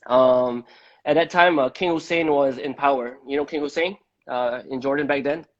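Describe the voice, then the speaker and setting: Halting man from Malaysia, dull lo-fi audio. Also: medium pitch. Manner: halting, repeats words. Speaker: host of a live debate. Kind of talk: conversational live-stream interview. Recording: dull, lo-fi live-stream audio.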